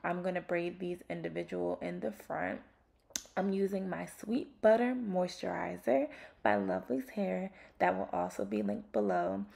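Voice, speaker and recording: A young woman with a raspy voice, chatting casually through a hair tutorial at home, with lots of ums. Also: fairly low pitch, moderate pace. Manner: relaxed, chatty, peppered with ums. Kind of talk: casual how-to narration. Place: home bathroom, indoors.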